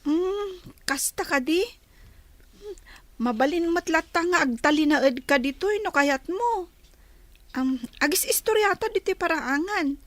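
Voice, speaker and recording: A dutiful teenage girl in a radio drama. The voice is extremely high and youthful, with a plaintive manner. The sound is dry. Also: lively intonation, a little slow, fairly bright tone, dry recording, exaggerated voice. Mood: shy, casual. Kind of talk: Filipino radio drama acting.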